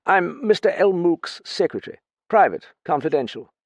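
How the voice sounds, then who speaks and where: A narrator does a lanky, quietly drunk former flying officer, swinging up high and loud with immense, wobbly dignity. His speech lurches between pompous announcements and vague asides, with a gravelly, husky edge in a dry, close recording. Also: lively intonation, normal speed, dry recording. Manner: slurred dignity, giggling, blustering.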